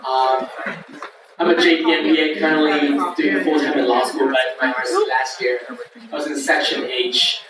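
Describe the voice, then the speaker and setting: A man's voice: a little high, fairly even intonation, normal speed. A man from New Zealand who leads a student trip, introducing himself in a friendly way. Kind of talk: self-introduction. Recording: echoey room from far off, loud crowd.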